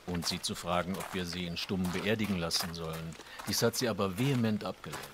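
An actor playing a formal, courteous 1920s Viennese gentleman in a radio drama, polite and matter-of-fact. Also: low voice, fairly quick, noisy background, close to an everyday voice. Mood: sober, polite.

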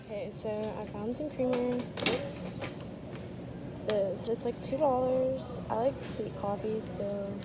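A woman's voice: fairly high pitch, takes it slowly, very noisy. A young woman in her twenties vlogging casually to a handheld phone camera, chatting while she shops. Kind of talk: casual vlog narration. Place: store aisles, later a parked car. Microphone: phone camera held at arm's length.

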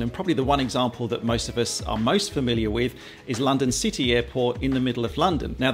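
A man, presenting an explainer to camera in a measured, conversational way. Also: mid-range voice. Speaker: aviation vlogger and presenter. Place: small quiet home office, indoors.

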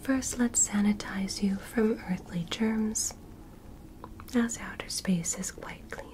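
Sci-fi ASMR roleplay: gentle alien woman doctor played by a young woman, whispered, extremely slow, formal and reassuring. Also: medium pitch, close to an everyday voice. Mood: soothing, clinical. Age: young adult. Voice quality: soft, breathy whisper. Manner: slow, deliberate, short measured phrases, reassuring. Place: small bedroom dressed as spaceship, indoors. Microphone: close microphone in front.

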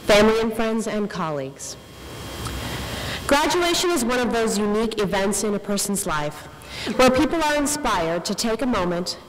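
A young woman giving an earnest, measured inspirational speech as a faculty speaker at a podium microphone. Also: medium pitch. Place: large indoor ceremony hall, on stage. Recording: reverberant hall with clear background noise.

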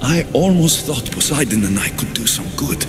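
An actor playing an earnest, brooding young warrior hero in a video game, speaking plainly. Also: male voice, mid-range voice, animated, moderate pace, close to an everyday voice. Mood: grave, reflective. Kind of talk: scripted video game cutscene acting. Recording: music behind dialogue.

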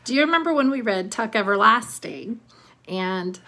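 High-voiced woman teaching a read-along. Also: lively intonation, normal speed, pushed and bright. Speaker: elementary school teacher. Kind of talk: read-along lesson with questions to students.

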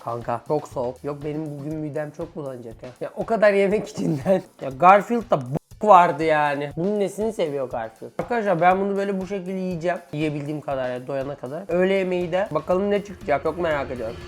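A woman's voice: sits low, animated, quick pace, hardly any pauses, faint music behind. Informal, complaining woman vlogger. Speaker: vlog host. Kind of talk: casual challenge vlog chat.

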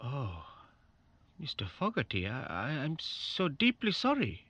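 A bright, courteous male call operator. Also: medium pitch, wildly swooping pitch, measured pace, breathy edge, exaggerated voice. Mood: sympathetic. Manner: polite, solicitous. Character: Paul, courteous, sympathetic male alarm-company call operator. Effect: intercom speaker. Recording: dull alarm-intercom speaker sound.

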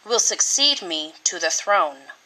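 A woman's voice: on the low side, lively intonation, takes it slowly, sharp tone, heavily acted, poor audio. A woman voicing a very old, dignified and fair-minded emperor in a children's storybook. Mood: proclaiming.

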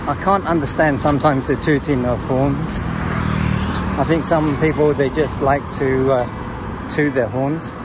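A man giving casual, unhurried commentary in short musing phrases as he films a walking travel vlog outdoors. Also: medium pitch, fairly even intonation. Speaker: travel vlogger. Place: outdoors, walking along a city beachfront. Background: music, traffic and street sounds.

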